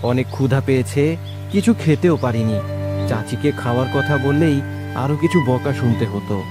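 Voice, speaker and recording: A man's voice: mid-range voice, normal speed, over loud music, close to an everyday voice. A man voicing a sad, obedient orphaned schoolboy in a children's cartoon, pleading and polite. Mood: hurt.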